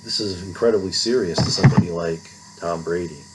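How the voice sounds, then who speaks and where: A man's voice: low voice, fairly quick, very noisy. A middle-aged man giving an informal recorded philosophy lecture in a conversational way, often checking in with "right?".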